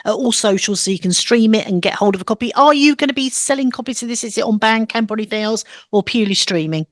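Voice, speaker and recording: A woman's voice: a little high, expressive, quick pace, no room sound, studio quality. A woman hosting a radio show, asking her guests questions in a friendly, upbeat way. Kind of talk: radio interview.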